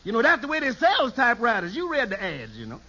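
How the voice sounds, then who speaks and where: An actor playing an excitable, girl-chasing shady lawyer in an old radio sitcom, spinning tall tales with folksy grammar and chuckles. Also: very high-pitched, fast, full character voice. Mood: advising. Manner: chuckles through his stories, folksy nonstandard grammar. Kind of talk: 1950s radio sitcom acting. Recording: muffled, noisy old radio recording.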